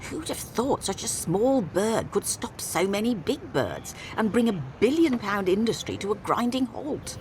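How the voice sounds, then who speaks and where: A woman's voice: medium pitch, sing-song, normal speed, hardly any pauses, darkish tone, slight background noise. A woman giving scripted documentary narration with a wry, playful delivery.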